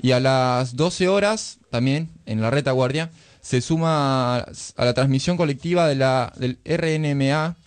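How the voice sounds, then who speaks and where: A man with an Argentine accent co-hosting a radio show in a casual style, with some halting delivery. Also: mid-range voice. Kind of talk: casual radio talk and reading aloud. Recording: music playing under the voice. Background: background music.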